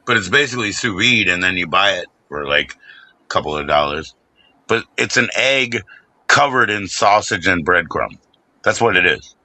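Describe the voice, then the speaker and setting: A low-pitched man with a very bright, tense edge to his voice. He talks in a loose, laid-back way, dropping swear words and laughing often as he hosts a casual chat. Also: lively intonation, normal speed, dry recording.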